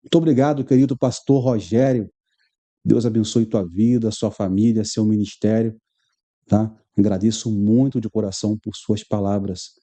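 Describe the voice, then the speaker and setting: A man's voice: on the low side, fairly even intonation, normal speed, dark tone. A man with a Rio de Janeiro Brazilian accent, reading news aloud with conversational asides to his viewers as a religious commentary host.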